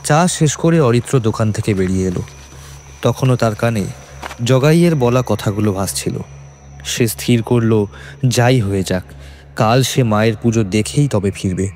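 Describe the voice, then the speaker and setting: A man narrating a scripted horror story in suspenseful, measured phrases. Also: mid-range voice, darkish tone. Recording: faint music behind.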